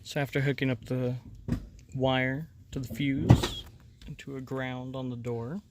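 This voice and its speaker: A man's voice: mid-range voice, level tone, measured pace. A man hosting a hands-on DIY tutorial, explaining each step in an informal, casual way. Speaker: host of a DIY installation demonstration. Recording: noticeable background noise.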